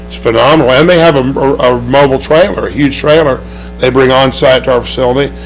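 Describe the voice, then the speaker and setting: A man with a hoarse voice and an Oklahoma accent, a race track promoter talking in a TV studio interview, upbeat and rambling with many restarts. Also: medium pitch, fairly even intonation, quick pace, slight background noise, low-quality recording.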